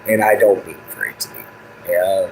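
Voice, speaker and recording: Man, booming voice, laid-back rambling livestream chatter, phone mic, faint hiss. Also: fairly even intonation, normal speed, rough recording. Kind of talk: casual live stream chat. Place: home room with kids and dogs. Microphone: phone propped on a table.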